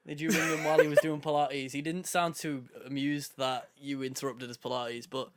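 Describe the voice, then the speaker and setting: A man's voice: mid-range voice, flat delivery, fairly quick, no room sound, clean studio sound. A man co-hosting a podcast, laid-back and teasing in casual chat. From England. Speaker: podcast co-host.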